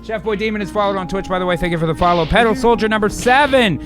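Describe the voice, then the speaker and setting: A man's voice: high voice, fast talker, music in the background. A man co-hosting and narrating an improvised radio drama, with loose, casual, bro-ish banter. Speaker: narrator and co-host.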